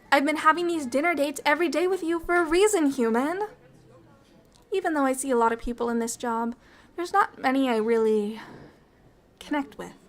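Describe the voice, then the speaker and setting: A woman playing a bubbly, affectionate alien ambassador in an ASMR roleplay, curious about humans, with expressive, earnest and slightly formal speech. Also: high-pitched. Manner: expressive, earnest, slightly formal wording, halting when moved. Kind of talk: ASMR roleplay acting, intimate one-sided dialogue.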